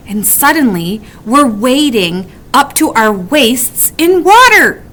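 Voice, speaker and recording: Woman acting a high-pitched, fretful female wombat. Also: fairly bright tone, exaggerated voice. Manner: wailing, dramatic. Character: fretful female wombat, wailing worst-case worrier.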